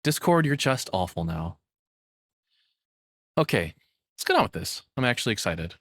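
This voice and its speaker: A man streaming casually, with pitch that moves up and down a lot. He rambles in a nervous, self-deprecating way, and the recording is close and dry. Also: big pitch swings, moderate pace, fairly bright tone, dry recording. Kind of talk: casual livestream chat and reaction commentary. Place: hot home room.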